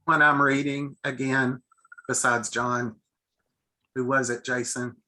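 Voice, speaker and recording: Very bright-voiced man chatting casually. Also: mid-range voice, level tone, slow pace, low-quality recording. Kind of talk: casual chat. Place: remote video call.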